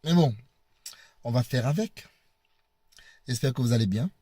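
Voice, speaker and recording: Hoarse man with a cold, halting. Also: mid-range voice, warm tone, no room sound. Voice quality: husky. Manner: halting, repeats words, laughs often. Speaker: video blogger. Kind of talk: casual vlog to viewers. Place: in a parked car.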